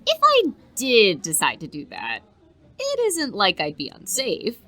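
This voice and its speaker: A woman playing an eager, cocky high school student reporter in an audio drama, excitable and self-assured. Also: measured pace, no echo, full character voice. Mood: eager, confident. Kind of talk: conversational audio drama acting.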